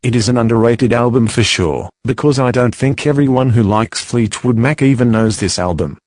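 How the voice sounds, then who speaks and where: A man in his twenties speaks as a casual co-host. His voice sits at an average pitch for a man. The recording is dry, with hardly any room around him. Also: moderate pace, darkish tone, dry recording. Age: 20s. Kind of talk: casual chat, personal recollections.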